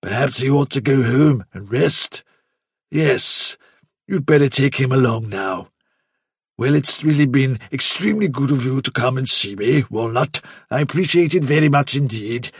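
An old chief rabbit from a storybook acted out by a man, slightly husky and closely recorded. He is courteous to a fault, vague and condescending, drawing out his phrases to put off whoever he addresses. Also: medium pitch, animated, no echo, studio quality, pitched above the performer's own voice. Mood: soothing, dismissive. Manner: bumbling, over-courteous, drawn-out patronising phrasing. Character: elderly male Chief Rabbit, politely condescending, vague and evasive.

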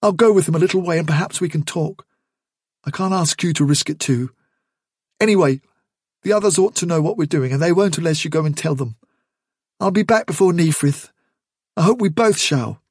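A protective storybook rabbit acted by a man, reasonable and earnest. The voice sits high and a little husky, with an urgent, conciliatory manner. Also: fairly quick, pauses often, no room sound, studio quality. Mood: earnest pleading. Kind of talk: storybook character dialogue.

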